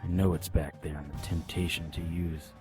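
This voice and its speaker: Casting: actor as haunted, drained male hero, very deep voice, grim and strained, music underneath, audio drama. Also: flat delivery, moderate pace, darkish tone, close to an everyday voice. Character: weakened, haunted male champion resisting temptation.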